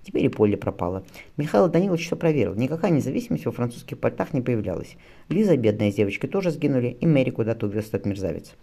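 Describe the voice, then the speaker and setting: A fretful, determined mother played by a male reader from a historical novel. She speaks briskly and affectionately, with quick pace and pitch that moves up and down. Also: big pitch swings, vocal fry, mellow. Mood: worried, musing.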